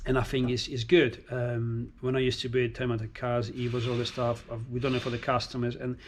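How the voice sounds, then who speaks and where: A man's voice: mid-range voice, monotone, brisk, a little hiss or hum. A man who grew up in Italy, speaking English as a second language, rambling casually with many fillers and restarts while showing his race car. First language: Italian.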